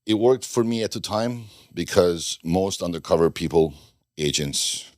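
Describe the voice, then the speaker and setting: A man's voice: low-pitched, lively intonation, a little slow, no echo. A middle-aged man from Bosnia, a guest telling his story in a casual interview, rambling and restarting sentences with dry jokes. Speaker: podcast guest, former undercover FBI agent.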